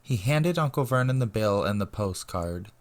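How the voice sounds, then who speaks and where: A man's voice: fairly low pitch, expressive, normal speed. A man reading as the narrator of a dramatic audiobook.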